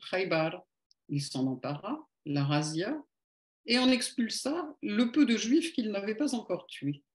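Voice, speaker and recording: A woman giving a polemical lecture at an evening meeting, with sardonic, ironic asides. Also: medium pitch.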